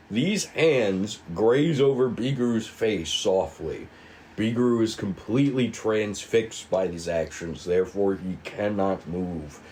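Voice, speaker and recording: A man reading screen directions aloud at a table read, with measured, dramatic storytelling and casual asides. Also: lowish voice, darkish tone, rough recording. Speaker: writer and narrator of a table read. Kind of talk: scripted narration of screen directions.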